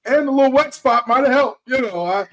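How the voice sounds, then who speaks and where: A man's voice: very high voice, fairly quick, fairly bright tone. A man giving casual, emphatic sports commentary as a talk show panelist, repeating phrases for effect.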